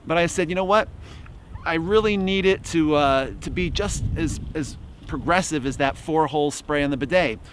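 Deadpan man pitching gear in the woods. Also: normal speed, a little hiss or hum. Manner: dry, mock-salesman humor. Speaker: outdoor gear vlogger. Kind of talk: deadpan comic product pitch. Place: outdoors in bare winter woods.

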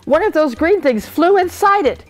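A woman's voice: high voice, animated, moderate pace, voice pushed up. Woman voicing a blustering, wailing royal trumpeter. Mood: flustered. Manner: loud, exclaiming, flustered.

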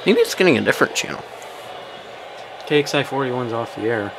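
A man giving casual, off-the-cuff commentary, thinking aloud in a halting, puzzled way. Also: mid-range voice, very animated, vocal fry. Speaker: vlogger, weather radio hobbyist. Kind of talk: casual off-the-cuff commentary while demonstrating gadgets. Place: small room indoors. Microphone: handheld camera microphone. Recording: very noisy camera audio, radio static.

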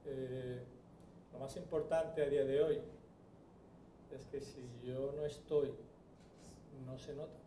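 A man's voice: mid-range voice, steady tone, slow, pauses often, mellow, a little hiss or hum. Man from Spain speaking at a lectern. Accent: Spanish from Spain. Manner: reflective, hesitant with many fillers. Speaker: transplant surgeon. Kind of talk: anniversary speech at a lectern. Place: large bright event hall. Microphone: lectern microphone. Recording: roomy hall audio picked up from a distance.

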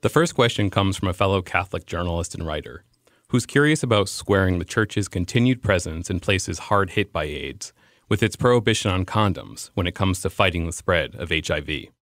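A man's voice: deep voice, expressive, fairly quick, fairly bright tone, dry recording, studio quality. A man with an American accent hosting a podcast, reading scripted narration. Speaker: podcast host.

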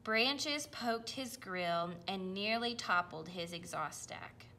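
A woman reading a children's picture book aloud, with careful, expressive delivery. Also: medium pitch, slow pace, slight background noise. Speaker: storybook reader.

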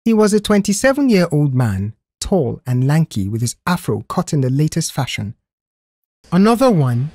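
A man reading scripted story narration in an expressive, careful storytelling manner. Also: fairly high pitch, moderate pace, few pauses, husky, dry recording, clean studio sound. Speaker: audiobook narrator.

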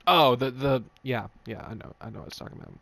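A man's voice: mid-range voice, fast talker, gravelly, fairly bright tone, dry recording. A man giving casual let's play commentary, muttering to himself in a wry, joking way and swearing now and then. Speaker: video game streamer.

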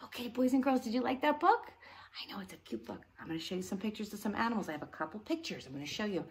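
A woman's voice: medium pitch, animated, rapid-fire. A middle-aged woman leading an interactive read-along for young children, with an exaggerated sing-song delivery. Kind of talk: interactive picture-book reading for young children. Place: living room at home, indoors.

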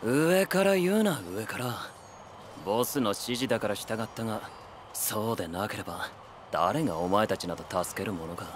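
A man voicing a haughty mercenary dealer in an anime voice drama, clipped and scornful. His pitch swings widely, and a faint noise hums behind him. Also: expressive, normal speed, lightly acted.

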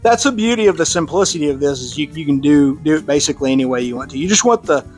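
A man with a Texan accent talks through a hands-on build in a loose, friendly way, fillers and restarts and all. His voice sits a little high for a man. Faint music runs underneath. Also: fast. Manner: relaxed, conversational, with fillers and restarts. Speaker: club presenter on radio antennas. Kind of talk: casual technical presentation. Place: club meeting room.

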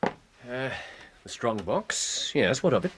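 An actor playing a brisk, incisive English detective with a clipped, authoritative manner in a period radio drama. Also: male voice, mid-range voice, very animated, a bit bright, a little hiss or hum. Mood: probing.

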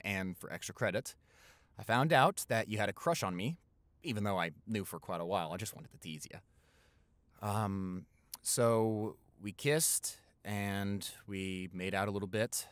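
A man's voice: lowish voice, dry recording, natural voice. A young American man playing a playful, caring college-age boyfriend in improvised roleplay audio, teasing and reassuring with hesitant stammers. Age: young adult.

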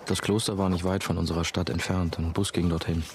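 A very low male voice plays a young novice monk narrating a radio play. He is laconic and sardonic, telling his past in a deadpan way. Music runs clearly behind him. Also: fairly quick. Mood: dry, reflective.

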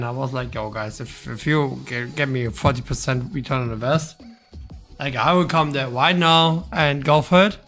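A man's voice: medium pitch, fairly bright tone. A German-speaking man streaming a game, chatting loosely with a friend and swearing now and then. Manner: loose, swearing, restarts often. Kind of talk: casual gaming stream chat.

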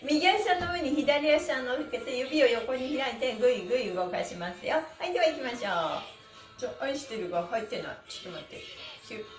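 A woman's voice: high-pitched, animated, brisk, roomy sound, faint music behind. A middle-aged woman leading a livestreamed stretching lesson in a cheerful, exclamatory, encouraging way, picked up by a camera mic in a small room. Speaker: stretching instructor and pantomime performer. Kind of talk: livestreamed exercise lesson with chanted counting and chatty asides. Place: small bare room indoors. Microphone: camera mic a few steps away.

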